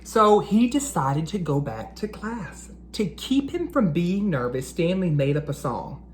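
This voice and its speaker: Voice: mid-range voice, big pitch swings, a little slow, a little hiss or hum. A read-aloud host narrating storybooks and talking to children in an encouraging, teacherly way.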